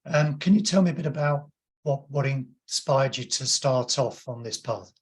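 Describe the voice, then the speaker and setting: A man's voice: medium pitch, normal speed, slightly rough. A man hosting an interview as the company's founder, asking questions in a friendly way with filler hesitations. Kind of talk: interview host.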